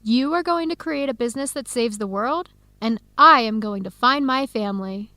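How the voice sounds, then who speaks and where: A woman's voice: on the high side, normal speed, sharp tone, no room sound. An actress playing a supportive, enthusiastic young woman, a close friend, in an educational radio drama. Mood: supportive, upbeat. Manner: encouraging, enthusiastic.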